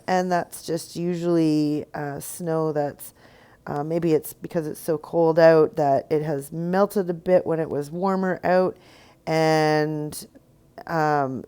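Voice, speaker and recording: A woman with a low, smooth voice leads a casual painting tutorial. A cold leaves her sounding stuffy and a little raspy at times. She wanders through her explanation with plenty of ums and uhs. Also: a little mellow. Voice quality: slightly raspy. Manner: rambling, many ums and uhs. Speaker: rock painting tutorial host.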